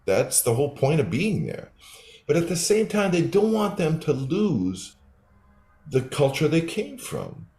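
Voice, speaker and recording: A man's voice: a little high, sing-song, measured pace, warm tone. An older man, a developmental psychologist, explaining his research in a thoughtful interview answer with frequent restarts. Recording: roomy echo.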